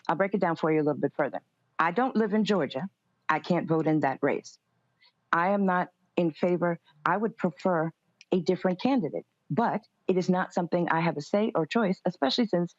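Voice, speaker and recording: A woman with a lowish voice answers as an interview guest. She is measured and firm, placing her points deliberately, and the sound is dry and somewhat dull. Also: no room sound. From United States. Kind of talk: live political interview answers.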